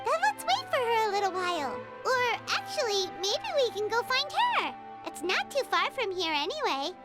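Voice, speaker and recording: An actress playing a tiny, cheerful, chatty childlike fairy companion in a video game, with a chirpy delivery. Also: female voice, very high voice, sing-song, normal speed, over loud music. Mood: cheerful, reassuring. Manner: chirpy, refers to self in third person. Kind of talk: animated video game dialogue.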